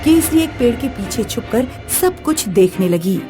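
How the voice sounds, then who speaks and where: A woman reads a scripted tale as narrator. Her voice is fairly high, with soft music underneath. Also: lively intonation, normal speed. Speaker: story narrator.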